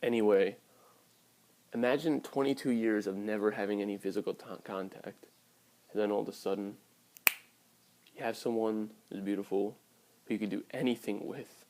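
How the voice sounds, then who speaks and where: A man's voice: on the low side, fairly even intonation, normal speed, creaky, long pauses, warm tone. A man in his early twenties talking casually and candidly to his viewers in a blunt, sweary vlog style. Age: early 20s. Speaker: vlogger.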